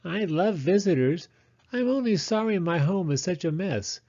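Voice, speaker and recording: A man's voice: sits high, expressive, normal speed, mellow, lo-fi sound, voice pushed up. A man voicing a friendly, chatty female mole in a children's storybook, fussy and sociable. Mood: welcoming.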